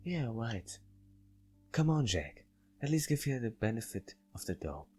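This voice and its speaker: A man's voice: mid-range voice, sing-song, unhurried, darkish tone, breathy edge, soft background music, pitched above the performer's own voice. A man voicing a caring, insistent woman friend in a visual novel, earnest and coaxing.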